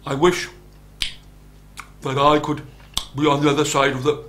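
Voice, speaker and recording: Man playing a big storybook billy goat, wistful, deliberate, calling out. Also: a little high, little pitch movement, a bit bright, a little hiss or hum, close to an everyday voice.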